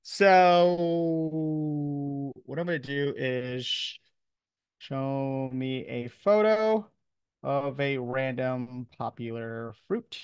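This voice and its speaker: A man's voice: mid-range voice, expressive, drawn-out words, clear, resonant tone, no echo. A man giving an informal lecture as a professor, talking in a casual, off-the-cuff, conversational way.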